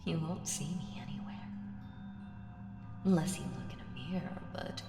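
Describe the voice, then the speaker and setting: A woman voicing an ancient female evil spirit in an ASMR audio roleplay, seductive, teasing and condescending, with breathy half-whispered delivery. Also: low-pitched, measured pace. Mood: amused, smug. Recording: echoey reverberant voice over music. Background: music.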